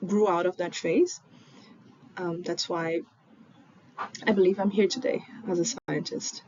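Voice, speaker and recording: A woman's voice: on the low side, a little mellow. A young woman from Brazil with a Brazilian accent, Portuguese her first language, telling her own story in an earnest way with frequent ums. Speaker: PhD student in genetics. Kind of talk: personal story presentation. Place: home in Fortaleza, recorded remotely. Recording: dull lo-fi recording with faint noise.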